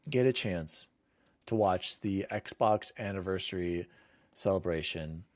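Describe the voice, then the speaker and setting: A man's voice: low voice, unhurried, no room sound, clean studio sound. A man hosting a casual gaming podcast, chatting in an enthusiastic, emphatic way. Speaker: podcast host.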